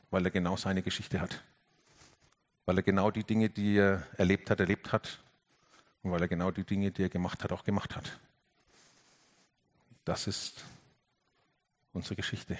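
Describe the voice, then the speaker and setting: A man giving a reflective sermon, earnest, rising to emphatic lifts and sinking to hushed, quiet passages. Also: mid-range voice. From Franconia, Germany.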